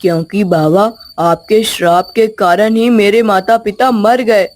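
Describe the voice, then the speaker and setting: A woman's voice: mid-range voice, steady tone, a little slow, a little mellow, lightly acted. A woman voicing an earnest, brave young village boy in a storybook tale, polite but insistent and pleading.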